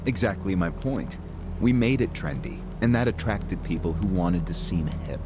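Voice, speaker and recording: An actor playing a smug, calculating political schemer who explains things at length in a video game cutscene. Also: male voice, low voice, animated, normal speed, over music. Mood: cynical, boastful. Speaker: character in a crime drama video game.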